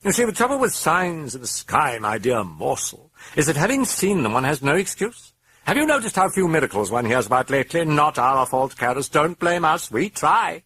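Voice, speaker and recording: A man's voice: on the high side, sing-song, moderate pace, pushed and bright, breathy edge, no room sound, voice pushed up, heavily acted. A man voicing a sardonic, mocking demon that speaks through a possessed girl, sneering and theatrical.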